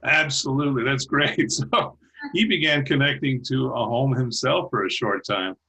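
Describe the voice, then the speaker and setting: A man speaking as a guest over a video call, talking haltingly with many restarts and light laughs. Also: mid-range voice, bright, forceful tone, breathy edge. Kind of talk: casual chat.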